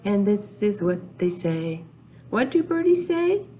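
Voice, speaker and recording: A woman's voice: medium pitch, sing-song, takes it slowly, warm tone, a little hiss or hum. An older woman hosting a children's storytime, talking in a simple, repetitive, sing-song way to young children in a small room. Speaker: children's librarian, storytime host. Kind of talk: children's storytime with rhymes, counting and songs. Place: small indoor room with whiteboard.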